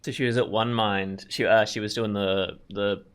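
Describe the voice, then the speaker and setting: A man's voice: on the low side, fairly even intonation, normal speed. A man hosting a gameplay video, reading story text aloud and adding casual commentary. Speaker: gameplay video host, narrator.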